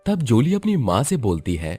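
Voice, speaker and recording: A man's voice: medium pitch, wildly swooping pitch, moderate pace, warm tone, breathy edge, soft background music. A man narrating a cartoon horror story in a scripted storytelling manner.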